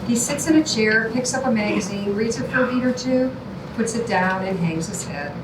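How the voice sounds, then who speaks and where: A woman's voice: fairly high pitch, moderate pace. A woman reading out stage directions as scripted narration at a staged reading. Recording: echoey, far from mic, loud noise.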